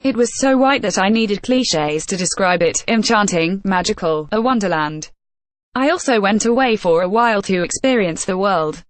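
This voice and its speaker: A woman narrating a travel feature article in a steady, even reading voice with odd pauses. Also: medium pitch, dry recording.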